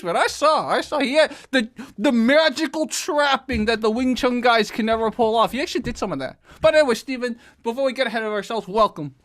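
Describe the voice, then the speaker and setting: A man's voice: very high voice, a bit bright. Enthusiastic male fight channel host. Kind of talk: casual interview.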